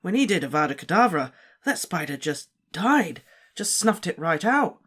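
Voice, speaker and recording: A man's voice: high voice, very animated, normal speed, a bit bright, husky, voice pushed up, full character voice. An easygoing teenage schoolboy, as a male narrator puts him on, chatting loosely and casually. The voice sits only a little above the narrator's own. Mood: casual, chatty.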